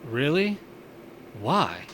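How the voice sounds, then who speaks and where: A man's voice: medium pitch, sing-song, drawn-out words, a bit bright, slight background noise, heavily acted. A man playing an easygoing young Martian bar owner in a science fiction audio drama, talking casually with dry sarcasm. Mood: puzzled, casual.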